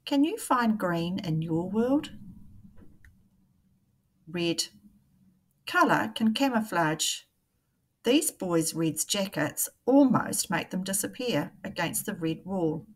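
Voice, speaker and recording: Slow-paced woman reading to young children. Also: fairly low pitch, lively intonation, husky. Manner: deliberate, enunciated, questioning phrasing for children. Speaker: primary school teacher reading a picture book aloud. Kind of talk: read-aloud storytelling for young children.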